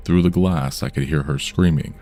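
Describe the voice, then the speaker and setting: A man reading true scary stories aloud in a measured, somber storytelling delivery. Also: extremely low pitch, fairly even intonation, warm tone, soft background music. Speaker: horror story narrator. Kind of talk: scripted true-crime horror narration.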